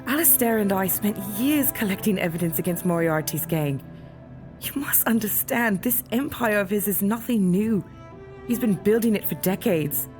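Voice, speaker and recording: A woman's voice: mid-range voice, a little mellow, natural voice. Guarded imprisoned mother voiced by an actress, earnest and urgent, audio drama with light music beneath. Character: guarded, anguished imprisoned mother hiding evidence.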